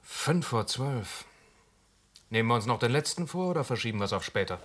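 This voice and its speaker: An actor playing a sharp, sarcastic police inspector who presses hard in a radio drama. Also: male voice, medium pitch, expressive, brisk. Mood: pressing. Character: aggressive, blunt male police inspector. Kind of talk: German radio drama acting.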